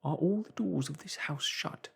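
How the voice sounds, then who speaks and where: A man's voice: animated, normal speed, dark tone, slightly rough. A man voicing a frantic, terrified male tramp who pleads and shrieks while on the run. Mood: panicked. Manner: shrill and pleading.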